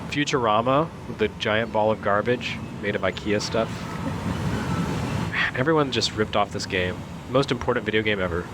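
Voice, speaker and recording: A man's voice: on the low side, moderate pace, heavy background noise. A man giving casual, wry commentary as a video game critic, joking as he talks.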